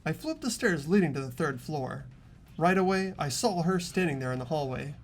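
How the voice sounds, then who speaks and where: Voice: mid-range voice, animated, fairly quick. A young performer voicing an earnest, anxious teenage boy who narrates and questions in a dramatic video game. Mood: tense narration.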